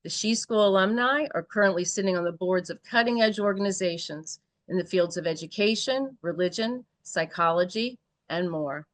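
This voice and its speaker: A woman's voice: on the low side, moderate pace, hardly any pauses, no echo. A woman host steadily reading out a guest speaker's introduction. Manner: steady, even reading of a bio. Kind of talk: read-out introduction.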